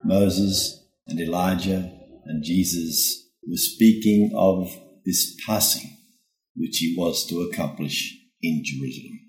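A low-voiced man gives a reflective scripted homily. He speaks very slowly and formally, setting down each phrase with care, in a roomy, echoing space. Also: soft background music. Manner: deliberate, phrase-by-phrase delivery, formal. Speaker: priest giving a reflection.

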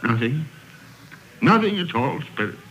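An actor playing an old miser softening into regret, theatrical and exclaiming, in vintage radio drama style. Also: male voice, mid-range voice, big pitch swings, a little slow, muffled recording, slight background noise. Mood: regretful. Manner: theatrical, exclaiming, repeating phrases. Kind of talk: 1930s radio drama acting. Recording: old radio broadcast with music.

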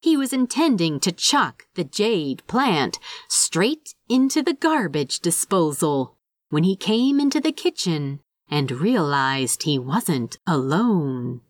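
A woman narrates fiction in an expressive, dramatic style. She takes her time with deliberate phrasing while her pitch moves widely. The recording is very dry, with no room sound. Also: no echo. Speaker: podfic narrator. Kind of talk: expressive scripted story narration.

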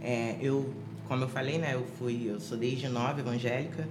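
Halting woman. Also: rumbling low, steady tone, noisy background. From Rio de Janeiro, Brazil. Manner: halting, many fillers and restarts. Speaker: interview guest. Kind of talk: personal testimony in an interview. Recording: echoey room with music behind.

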